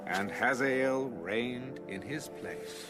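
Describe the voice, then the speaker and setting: A man's voice: medium pitch, slow, loud music behind the voice. A man narrating a dramatized scripture audiobook as a scripted storyteller.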